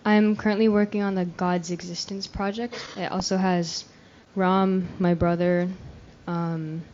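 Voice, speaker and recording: A young woman with a fairly low voice introduces herself, shy and modest, and laughs softly. The recording is dull and lo-fi. A faint hiss runs underneath. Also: little pitch movement, measured pace. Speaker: youth retreat participant. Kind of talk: casual self-introduction.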